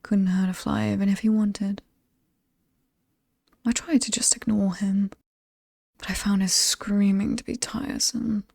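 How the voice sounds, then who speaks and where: Alluring she-devil of a haunted estate, acted by a woman, British, mocking, mellow and breathy, very close and dry, horror ASMR. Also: medium pitch, flat delivery, no room sound. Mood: sinister relish. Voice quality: breathy, often whispered, soft. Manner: slow, taunting, teasing. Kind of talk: horror ASMR roleplay, scripted monologue.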